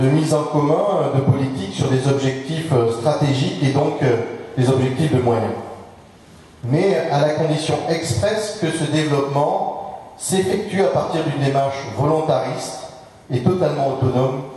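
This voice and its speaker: A mellow-voiced man gives a formal municipal speech. He proceeds haltingly, with hesitations and doubled words. The sound is distant, with heavy room echo from a large hall. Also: mid-range voice. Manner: halting, measured delivery with frequent hesitations and repeated words. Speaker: elected municipal official giving a speech. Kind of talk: formal political speech at a public information meeting. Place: large public meeting hall, indoors. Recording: very echoey hall, distant microphone.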